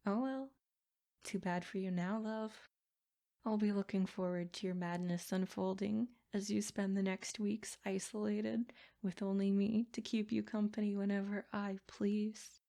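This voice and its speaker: A woman's voice: medium pitch, vocal fry, dark tone. A woman voicing a sadistic, possessive princess in an ASMR roleplay, speaking in formal, haughty phrases with silky menace. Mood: mocking, cruel. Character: sadistic, possessive yandere princess, smug captor. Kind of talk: ASMR roleplay, scripted character monologue.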